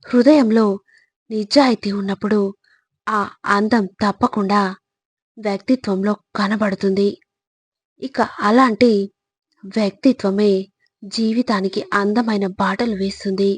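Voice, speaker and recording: A woman narrating scripted motivational lines in measured, emphatic phrases with deliberate pauses. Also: medium pitch, husky, no room sound.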